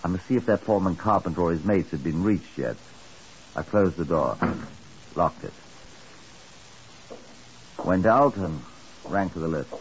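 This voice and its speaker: An actor playing a dry, methodical British chief inspector in a 1950s radio drama, measured, deliberate and faintly sardonic. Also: male voice, deep voice, expressive. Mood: musing, deliberate. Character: dry, methodical police chief inspector narrating his case. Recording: muffled, hissy 1950s radio recording.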